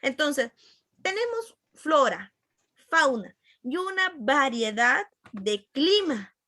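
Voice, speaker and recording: A woman's voice: sits high, sing-song, slow pace, sharp tone, no echo, studio quality. A woman with a Peruvian accent teaching a class conversationally, repeating key points and checking in with her listeners. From Peru.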